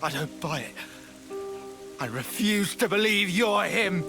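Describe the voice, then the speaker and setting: Outraged young soldier in a game, high voice up and down, intense, music clearly behind. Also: male voice, expressive, unhurried, exaggerated voice. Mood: defiant disbelief. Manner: intense, disbelieving. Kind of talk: dramatic video game acting.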